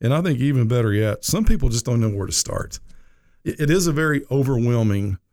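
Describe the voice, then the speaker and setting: A man's voice: medium pitch, animated, brisk, a little mellow. A middle-aged man hosting a financial radio show, talking in a folksy, rambling way with lots of "you know". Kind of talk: casual radio talk.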